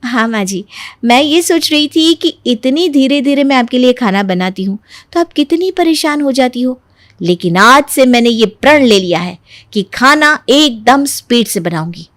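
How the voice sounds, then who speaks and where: A woman voicing a young, exasperated daughter-in-law who argues back, in an animated family moral story. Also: sits high, moderate pace, no echo, studio quality. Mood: sarcastically resolute. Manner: pert, aggrieved, arguing.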